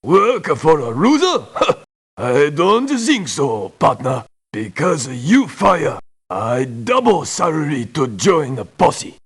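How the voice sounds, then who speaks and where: Real slow husky cowboy merc for a game, folksy n cocky, broken english. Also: male voice, on the high side, big pitch swings, heavily acted. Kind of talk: video game character voice lines.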